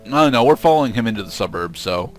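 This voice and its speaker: A man chats casually as a game commentator. His voice has an ordinary middle pitch and his phrasing is halting and hesitant. Music plays faintly behind him. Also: expressive. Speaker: let's play co-commentator.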